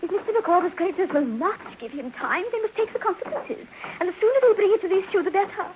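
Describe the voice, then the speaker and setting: A woman's voice: extremely high pitch, expressive, fast. An actress playing a long-suffering, talkative English wife and mother in a radio drama, fussily genteel and rambling. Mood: genteel, plaintive. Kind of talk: 1950s radio drama acting. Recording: faintly noisy old radio recording.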